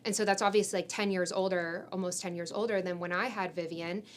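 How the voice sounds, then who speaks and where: A woman's voice: fairly low pitch, fairly even intonation, fairly quick, slight background noise. A woman, co-hosting a podcast in an emphatic, informal, opinionated way, often saying "like". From San Francisco Bay Area, United States. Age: 20s. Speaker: podcast co-host. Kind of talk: opinionated conversational podcast talk.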